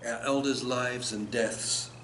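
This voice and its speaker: Older guy doing a live poetry reading, expressive and dramatic, bit of background noise. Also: mid-range voice, slow pace. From South Africa and England. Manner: expressive, dramatic literary delivery. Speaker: poet. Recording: noisy live event room recording.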